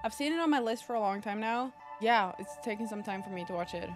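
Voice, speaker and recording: A woman's voice: mid-range voice, fast talker, over music. Chatty young woman reacting to a film. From Norway. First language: Norwegian and Spanish. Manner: chatty, excitable, talks straight to the viewers. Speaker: reaction channel host. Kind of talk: casual movie reaction chat. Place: bedroom streaming setup.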